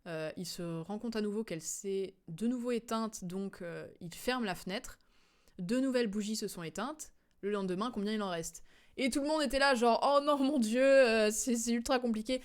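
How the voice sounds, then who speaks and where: A woman's voice: a little high, normal speed. A young adult woman giving casual, rambling game commentary as a streamer, in informal, slangy speech.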